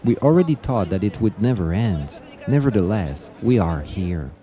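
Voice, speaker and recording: A man reading scripted travel documentary narration in a measured, formal, slightly stiff way. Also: lowish voice, big pitch swings, warm tone. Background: music.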